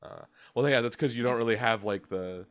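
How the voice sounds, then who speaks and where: A young American man in his early twenties hosts a casual video chat. His voice is mid-pitched and ordinary for a man. He speaks haltingly, stumbling and restarting often. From United States. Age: 20s. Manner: halting, many restarts and fillers. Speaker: host interviewer.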